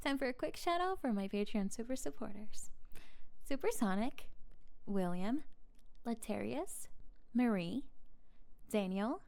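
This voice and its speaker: A woman speaking quietly as an ASMR channel host, reading a scripted outro. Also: fairly high pitch, animated, a little slow, gravelly, long pauses.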